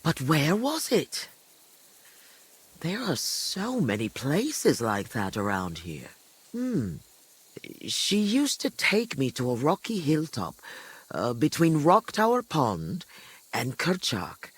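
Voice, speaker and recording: A woman's voice: sits low, huge pitch swings, slow, slightly rough, exaggerated voice. An actress playing a chatty, kindly old village granny in a video game, rambling and hesitating as she talks. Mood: pondering, rambling. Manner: rambling, hesitant recollection with frequent second thoughts. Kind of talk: video game dialogue acting.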